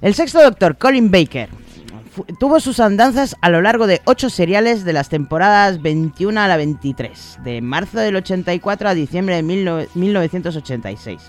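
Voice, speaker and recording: Lively, high-pitched Spaniard chatting. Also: male voice, brisk, soft background music. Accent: Spanish, from Spain. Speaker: podcast co-host. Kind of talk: casual chat and commentary.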